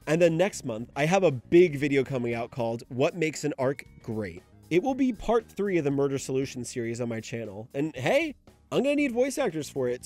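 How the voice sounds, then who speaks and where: Animated young man ranting about anime. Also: fairly high pitch. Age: young adult. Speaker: video essayist. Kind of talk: casual commentary.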